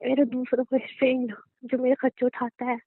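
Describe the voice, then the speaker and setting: A woman's voice: sits high, flat delivery, moderate pace, no room sound. A young woman bantering casually as a girlfriend on a phone call, sassy, curt and mocking. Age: young adult. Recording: dry, narrow tinny phone-line sound.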